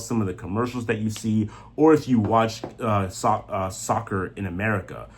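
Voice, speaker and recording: Male sports commentator, slightly deep and raspy, unscripted, opinionated, emphatic with repeated phrases. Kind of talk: opinionated off-the-cuff soccer commentary.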